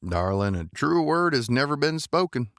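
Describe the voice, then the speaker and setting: A man playing a gravelly, courtly space cowboy. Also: on the high side, big pitch swings, normal speed, no room sound, clean studio sound. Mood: musing. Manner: drawling folksy cowboy idiom, gentlemanly.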